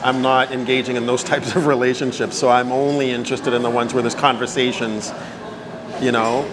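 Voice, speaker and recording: A man's voice: mid-range voice, little pitch movement, a little fast, a crowd in the background, low-quality recording. A man from Canada in his fifties, answering interview questions at length in a casual way and often checking for agreement. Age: 50s. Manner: talkative, repeats "right?" for agreement. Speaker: interview guest.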